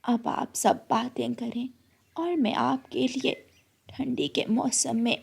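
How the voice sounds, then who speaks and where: A woman voicing a kind, doting grandmother bird in a cartoon, soft-spoken and reassuring. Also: fairly high pitch, fairly even intonation, takes it slowly, vocal fry, breathy edge, heavily acted.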